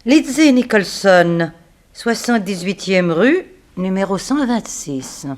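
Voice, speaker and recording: A woman's voice: mid-range voice, animated, very noisy. Composed, proud woman under suspicion. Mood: assured. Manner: formal, measured, self-controlled. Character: composed, proud female companion under suspicion, coolly reasoning. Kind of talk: 1960s French radio drama acting.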